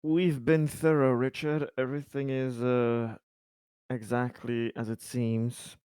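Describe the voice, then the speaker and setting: A man's voice: mid-range voice, little pitch movement, slow pace, a little mellow, clean tone, dry recording. A man playing a tabletop role-playing game, talking casually with a dry, wry manner.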